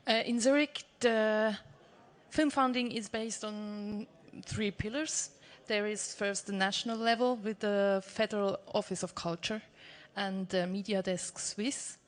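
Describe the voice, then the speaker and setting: A woman who heads a film fund, speaking on a panel in a measured, explanatory way. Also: mid-range voice, steady tone. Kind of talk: panel talk.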